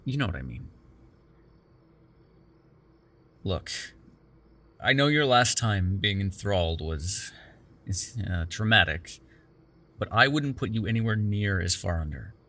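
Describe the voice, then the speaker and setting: A man's voice: on the low side, sing-song, a little slow, bright, forceful tone, heavily acted. A man playing a sardonic but caring captive vampire in an immersive ASMR roleplay, talking in a wry, teasing, profane conversational way. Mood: gentle, coaxing. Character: sardonic, caring immortal male vampire held captive in cuffs.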